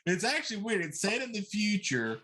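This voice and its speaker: A man's voice: high-pitched, big pitch swings, fairly quick, pushed and bright. A middle-aged man co-hosting a casual chat show, speaking in an opinionated, emphatic way. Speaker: talk show co-host. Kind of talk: casual chat about films.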